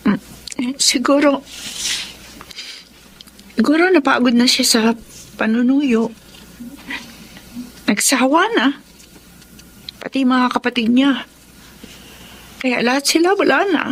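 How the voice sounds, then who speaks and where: A woman's voice: high voice, slow, pauses often, exaggerated voice, rough recording. A wary, hurt woman. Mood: sad. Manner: hesitant, guarded. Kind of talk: radio drama acting.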